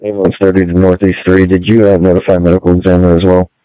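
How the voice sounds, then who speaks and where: A man's voice: low voice, little pitch movement, rapid-fire, smooth, dry recording. A man on an ambulance crew, reporting in over a two-way radio. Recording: dull lo-fi two-way radio transmission.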